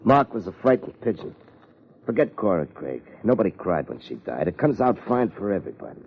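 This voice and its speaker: Shady American ex-boxer blackmailer, deep gruff voice, slangy, scheming, wide pitch swings, old radio crime drama. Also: male voice, sing-song, a little fast, slight background noise. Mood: scheming, wheedling. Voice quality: gruff, husky. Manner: slangy tough-guy talk. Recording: muffled vintage radio recording.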